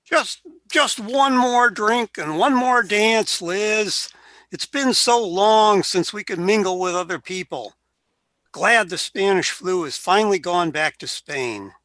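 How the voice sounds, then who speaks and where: A man's voice: high-pitched, takes it slowly, fairly bright tone, no room sound. A man playing a flippant but caring, tipsy older brother from 1920 in a radio play.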